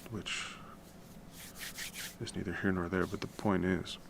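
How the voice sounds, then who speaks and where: A man with a deep baritone voice, chatting casually and rambling while he works, keeping his voice quiet in the middle of the night. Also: a little slow, creaky. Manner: relaxed, rambling, swears casually; talks quietly to keep the noise down. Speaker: music composition streamer. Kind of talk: casual late-night talk while working. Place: home room at night. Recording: noticeable background noise with typing.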